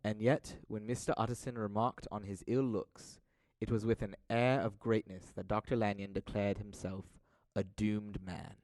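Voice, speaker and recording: Low, dry male storyteller. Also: flat delivery, darkish tone, no echo. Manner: measured, dramatic storytelling. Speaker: narrator. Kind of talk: scripted audio drama narration.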